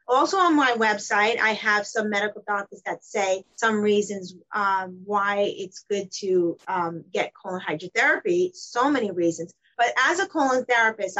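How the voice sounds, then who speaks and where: A woman's voice: mid-range voice, fairly bright tone, dull audio. A woman in her fifties, a colon hydrotherapist, talking casually in a rambling way with many fillers and restarts. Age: 50s. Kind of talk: casual interview talk.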